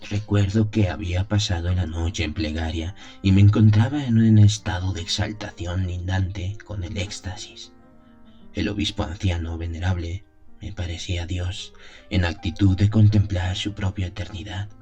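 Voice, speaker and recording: A man playing a devout priest who solemnly recalls his innocent youth in a gothic radio drama, in measured, literary phrases. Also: sits low, steady tone, dark tone, highs cut off, natural voice. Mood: solemn, fervent. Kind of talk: dramatized first-person gothic story narration. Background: music.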